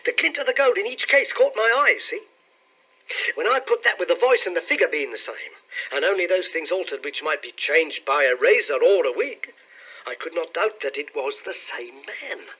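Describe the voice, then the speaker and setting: A man voicing an excitable, cheery young male clerk from London, eagerly telling his story in an audiobook. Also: a little high, normal speed, breathy edge, pitched above the performer's own voice, full character voice, poor audio.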